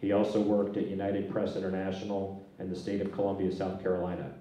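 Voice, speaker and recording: A man's voice: low voice, fast. A middle-aged man moderating a panel, reading out speaker introductions with a handheld mic on a stage. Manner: reads out speaker bios in a steady, even way. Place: stage in a large dark hall. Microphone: handheld microphone. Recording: echoey hall, from far off.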